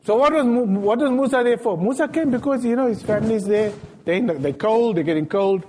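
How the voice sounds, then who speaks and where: A man's voice: very high voice, brisk, a little hiss or hum. A man giving a sermon in a mosque, telling stories casually in everyday speech. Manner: storytelling, retelling scenes in everyday speech with lively quoted dialogue. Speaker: sheikh giving a Friday talk.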